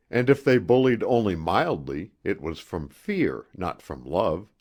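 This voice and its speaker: Guy narrating an old literary audiobook, really slow and deliberate, lively dramatic intonation. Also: on the low side. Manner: deliberate, theatrical, expressive phrasing.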